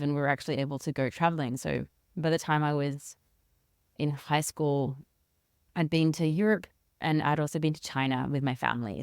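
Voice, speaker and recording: Interview guest, woman, 40s, Australian accent, smooth resonant tone, conversational, dry close sound. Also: low-pitched, darkish tone, no room sound. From rural South Australia. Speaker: interview guest, leadership consultant and researcher.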